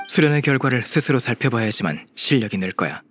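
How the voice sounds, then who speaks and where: A man's voice: mid-range voice, animated, fast talker, a bit bright, slight background noise, natural voice. A man voicing an aloof, stern immortal master in a video game, cool, terse and commanding.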